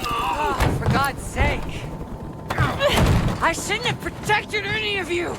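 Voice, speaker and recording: An exasperated woman official in a cinematic game scene. Her raised voice is very high, agitated and drawn out. Loud engine noise surrounds her. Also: expressive, takes it slowly. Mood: bitter, agitated. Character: bitter, exasperated woman official under pressure. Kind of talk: cinematic video game acting.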